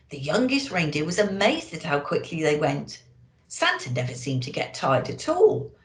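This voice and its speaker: A woman reading a children's storybook aloud in an expressive, theatrical way, addressing young listeners. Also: mid-range voice. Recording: echoey, roomy sound.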